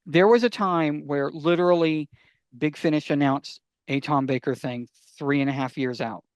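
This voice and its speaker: A man with a somewhat high-pitched voice talks as a podcast guest. The recording is close and dry. His delivery is casual and emphatic, built from short phrases that he restates. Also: moderate pace, smooth, dry recording. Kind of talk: casual chat.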